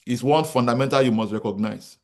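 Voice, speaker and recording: Nigerian man, forties, business founder, motivational talk to a crowd, emphatic, repeats himself, medium-pitched voice. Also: a little fast, darkish tone. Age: 40s. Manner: emphatic, repeats key points, asks the audience rhetorical questions. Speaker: real estate company founder speaking to an audience.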